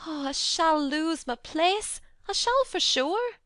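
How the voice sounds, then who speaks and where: A woman voicing a flustered young Yorkshire maid, kindly and fearful, speaking broad dialect in a high, anxious voice. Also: very animated, normal speed, fairly bright tone, no room sound, clean studio sound.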